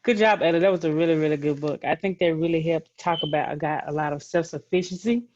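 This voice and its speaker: A woman with a moderately low voice hosts a virtual session for children. She talks in a conversational, encouraging way. The sound is a little dull and muffled, like online meeting audio. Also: fast talker, darkish tone. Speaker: session host. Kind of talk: informal encouraging talk to children.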